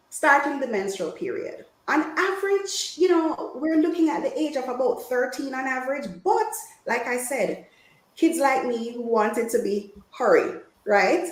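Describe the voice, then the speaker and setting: Woman speaking in an echoey room. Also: mid-range voice. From Jamaica. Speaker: guest women's health speaker. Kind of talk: informal health talk to an online audience.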